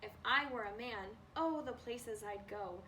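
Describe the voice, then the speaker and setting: A woman reading a children's storybook aloud in an expressive manner, as a librarian does at story time. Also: a little high, a little slow. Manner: expressive, acts out animal noises. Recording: distant mic with faint background noise.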